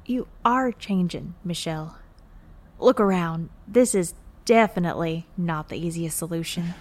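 A woman's voice: fairly low pitch, a little slow. A woman acting in an audio drama as a folksy, wry, plain-spoken moonbase worker. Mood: wry, calm. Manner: folksy, drawling exclamations, wry.

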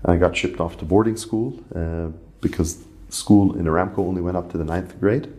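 A man's voice: low voice, moderate pace, darkish tone. A middle-aged man who grew up in Saudi Arabia, telling his story as an interview guest, with many fillers and restarts. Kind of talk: conversational interview.

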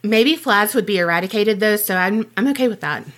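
A woman speaks casually as a podcast co-host. She is excitable and jokey, talking in an informal, conversational style. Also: mid-range voice, brisk. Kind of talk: casual chat.

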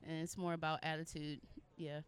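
A woman's voice: deep voice, level tone, brisk. An American woman from Los Angeles answering interview questions as a musician in a concise, easygoing way. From Los Angeles, United States. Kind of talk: casual interview chat.

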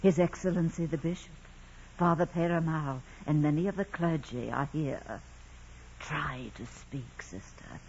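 A woman's voice: low-pitched, unhurried, a little mellow. An actress playing a dignified, concerned Mother Superior, formal and urging, in a 1940s radio drama. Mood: solemn. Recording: muffled, noisy vintage radio recording.

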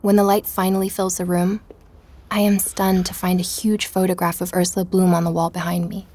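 A woman's voice: fairly low pitch, little pitch movement, fairly quick, darkish tone, lightly acted. An actress playing an introspective young woman who narrates her own investigation in a scripted first-person audio drama. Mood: hushed wonder. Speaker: narrator and protagonist.

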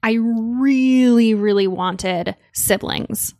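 A woman's voice: on the high side, drawn-out words, a little mellow, dry recording, clean studio sound. A young woman from Connecticut co-hosting a podcast, chatting casually and rambling with lots of "like.". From Connecticut, United States. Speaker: podcast co-host. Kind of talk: casual chat.

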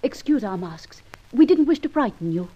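An actress playing the kindly, urgent accomplice of a gentleman adventurer in an old radio drama, earnest, insistent and polite. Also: on the high side, big pitch swings, moderate pace, breathy edge. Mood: reassuring. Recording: dull, lo-fi old radio recording.

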